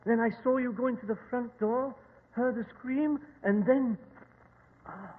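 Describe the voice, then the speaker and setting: An actor playing an agitated foreign dignitary, breathless and theatrical, in an old radio drama. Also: male voice, very high-pitched, unhurried, slight background noise. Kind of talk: 1940s radio drama acting. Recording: tinny, echoey old radio broadcast.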